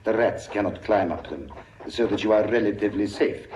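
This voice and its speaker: A man playing a suave, gloating master villain in a radio thriller serial, menacingly polite, deliberate and taunting. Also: fairly low pitch, darkish tone, echoey room, a little hiss or hum, natural voice.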